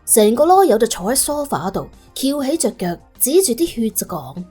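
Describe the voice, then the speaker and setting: A woman's voice: a little high, very animated, moderate pace, dark tone, slightly rough, soft background music. A woman with a Cantonese accent narrating a story in a colloquial, dramatic storytelling manner. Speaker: audiobook narrator.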